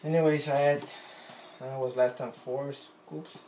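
Casual vlogger, Puerto Rican accent, self-deprecating, roomy kitchen with faint noise behind. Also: male voice, medium pitch, flat delivery, unhurried, warm tone. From Puerto Rico. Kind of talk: casual chat while cooking. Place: home kitchen, indoors. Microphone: phone camera on counter. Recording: echoey kitchen with faint ambience.

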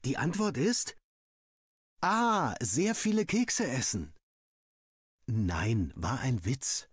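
A man's voice: mid-range voice, sing-song, slow pace, slightly rough, no echo, studio quality, pitched above the performer's own voice, exaggerated voice. A man playing a young student villager who narrates his diary in a wry, dramatic, self-mocking way, as a scripted game story. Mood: joking, embarrassed.

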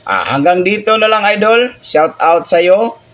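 A young man from the Philippines chats easily through a phone mic in a small indoor room. He walks the listener through the chart with friendly, repeated calls of "idol", pausing and restarting as he points things out. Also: high voice, animated, fairly bright tone. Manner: chatty, keeps addressing the viewer as "idol". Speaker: fishing channel host. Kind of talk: casual screen-recorded chart walkthrough.

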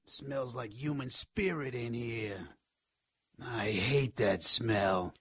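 An actor voicing a scrawny, spiteful, cowardly imp with a gravelly, raspy voice in a radio drama. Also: male voice, mid-range voice, slow, a little hiss or hum. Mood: spiteful, resentful. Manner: snide, resentful, excitable. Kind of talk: radio drama character acting.

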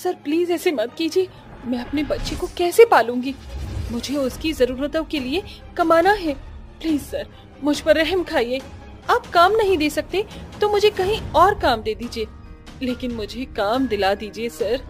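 A woman's voice: high voice, animated, unhurried, soft background music. A woman playing a desperate pregnant job seeker in a melodramatic cartoon, pleading tearfully.

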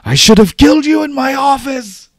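A man voicing a desperate, vengeful woman doctor in a roleplaying game, shouting indignantly. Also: very high-pitched, animated, moderate pace, gravelly, no echo, clean studio sound, pitched above the performer's own voice, full character voice.

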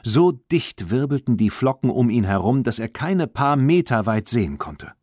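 A man narrating a children's audiobook in an expressive, dramatic storytelling manner. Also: medium pitch, normal speed, no echo, studio quality.

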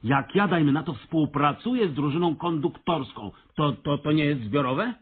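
An actor playing a stubborn, aggrieved railway worker in a comic radio play, stammering, repeating himself and combative. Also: male voice, fairly high pitch, expressive, normal speed, husky. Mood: defensive. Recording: thin, phone-like radio play recording.

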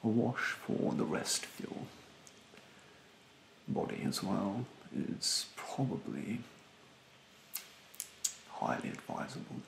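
Man acting a slow, hushed, snobbish spa therapist. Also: fairly low pitch, wildly swooping pitch, vocal fry, reverberant, noisy background, exaggerated voice. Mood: haughty, fussy. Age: young adult. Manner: haughty, condescending, halting delivery. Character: rude, snobbish male facial spa therapist. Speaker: ASMR performer. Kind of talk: soft-spoken ASMR roleplay, often whispered. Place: small bedroom, indoors. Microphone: close to the microphone.

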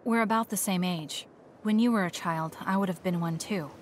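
A woman's voice: on the low side, normal speed, slight background noise, natural voice. An actress playing an aloof young female soldier in an anime-style video game, curt and matter-of-fact. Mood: cool, curt.

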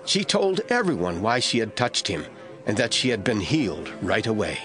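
Animated male Bible narrator over music. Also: on the low side, moderate pace. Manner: expressive storytelling. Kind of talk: scripted dramatic Bible narration.

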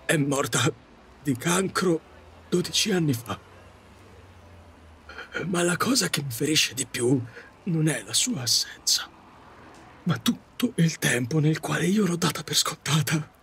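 A man playing a middle-aged widowed taxi driver in an audio drama, confessing his regrets in a halting, repetitive, self-reproaching way. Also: fairly high pitch, expressive, a bit bright, slight background noise. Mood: grieving, remorseful. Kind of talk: Italian audio drama monologue.

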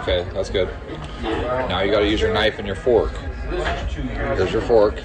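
A man's voice: lowish voice, fairly even intonation, normal speed, loud music behind the voice, over a noisy crowd. A man in his forties hosting a casual travel vlog, holding the camera at arm's length as he films himself in a diner and then outside it. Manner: upbeat, talks his mother through her meal step by step. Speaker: vlogger. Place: diner in an old train car, then outdoors by it. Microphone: handheld camera at arm's length.

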